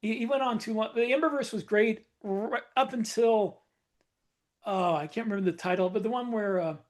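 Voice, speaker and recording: High-voiced opinionated man on a podcast. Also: moderate pace. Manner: opinionated, rambling, searches for words. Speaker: podcast co-host. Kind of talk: casual podcast discussion.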